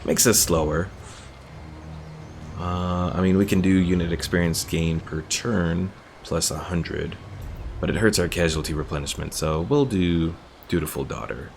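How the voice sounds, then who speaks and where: A man with a very deep, gravelly voice talks through his choices in a strategy game. He thinks aloud and reads the menu text as he goes. Faint noise sits under his desk-mic recording. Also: unhurried. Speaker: gaming streamer. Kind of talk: casual let's-play commentary.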